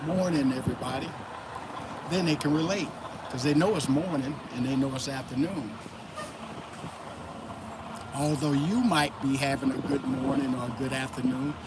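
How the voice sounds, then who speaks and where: A man from Florida hosting a casual motivational livestream, talking loosely and greeting chat viewers by name. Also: medium pitch. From Florida, USA. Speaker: livestream host. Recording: loud traffic noise outdoors. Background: loud traffic noise.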